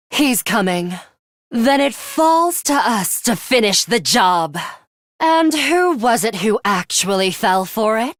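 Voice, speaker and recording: A woman playing a stern, ruthless young female fighter in a video game, speaking in a terse, measured and commanding manner. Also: medium pitch, dry recording, clean studio sound. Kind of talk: scripted video game voice acting.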